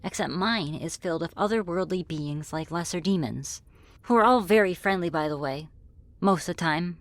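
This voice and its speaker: Friendly demoness woman acting for ASMR roleplay: low pitch, gentle and flirty, hesitant, reassuring delivery. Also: moderate pace, dry recording, close to an everyday voice. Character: friendly, flirty, apologetic female demon ruling her own realm. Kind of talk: ASMR roleplay spoken to the listener.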